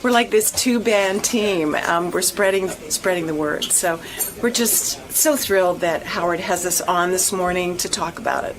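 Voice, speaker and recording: A woman's voice: fairly low pitch, a little slow. Chatty woman over noisy ambience. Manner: chatty, repeats words, laughs often. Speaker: guest actress. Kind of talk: casual chat.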